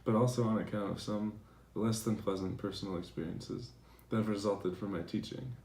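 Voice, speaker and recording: A man playing a defensive, aggrieved professor in a dramatized letter reading, speaking in a formal, measured way. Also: lowish voice, steady tone, darkish tone, close to an everyday voice. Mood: weary, aggrieved. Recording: roomy, echoing recording.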